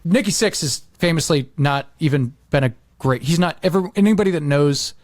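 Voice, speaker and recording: A middle-aged American man chatting casually as a podcast host, rambling and repeating himself with animated emphasis. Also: fairly high pitch, brisk, no room sound, clean studio sound.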